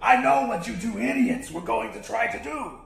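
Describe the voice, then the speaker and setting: A man voicing an enraged, cruel giant crab boss in an audiobook, ranting in a screechy, gravelly voice. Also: very high voice, moderate pace, reverberant, over music, voice pushed up, heavily acted. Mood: scornful ranting. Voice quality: screechy, gravelly imitation of human speech. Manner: ranting, shouting, profane bullying. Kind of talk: audiobook character voice.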